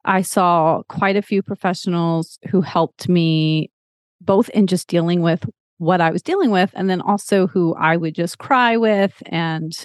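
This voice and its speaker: A woman in her forties from Texas speaks in a fairly low, earnest voice. She tells her story openly and repeats words for emphasis. The sound is dry and close, with no room in it. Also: unhurried, no echo. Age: 40s. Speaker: lactation counselor guest. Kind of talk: podcast interview, personal storytelling.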